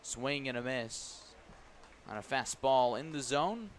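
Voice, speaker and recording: A man calling a baseball game live on radio as the play-by-play announcer, describing each pitch in detail. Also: slow pace. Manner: descriptive, excited calls rising on big plays. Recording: clear background noise at ballpark. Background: ballpark ambience.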